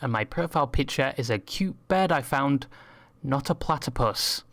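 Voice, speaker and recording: A man's voice: medium pitch, animated, normal speed, a bit bright, no echo. A man reading scripted comedic narration for a video essay, with wry, crude humour.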